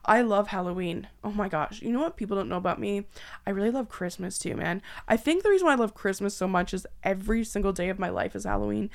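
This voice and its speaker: A woman hosting a podcast in a casual, animated, self-deprecating way with salty language. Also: mid-range voice, fast, vocal fry. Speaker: podcast host. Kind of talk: casual chatty podcast banter.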